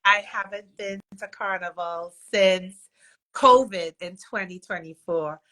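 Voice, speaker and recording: A woman's voice: mid-range voice, unhurried, bright, forceful tone, rough recording. A woman in her thirties from Trinidad with a Trinidadian accent, chatting casually and laughing easily. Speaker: co-host. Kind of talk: casual chat.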